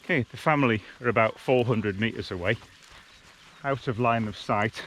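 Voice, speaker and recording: A man's voice: mid-range voice, moderate pace, fairly bright tone, slight background noise. A man giving a casual, practical outdoor field-test commentary, speaking plainly as a hiking vlogger. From United Kingdom. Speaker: hiking vlogger and gear tester. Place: outdoors on open hills.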